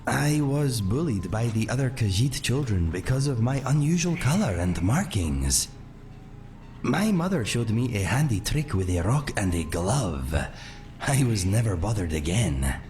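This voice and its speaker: A man playing a gentle, courteous cat-folk sellsword in a fantasy game, speaking in measured, formal phrases without contractions. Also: on the low side, very animated. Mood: proud, reminiscing. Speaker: companion character in a fantasy video game. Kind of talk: scripted game dialogue. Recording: faint music under the voice.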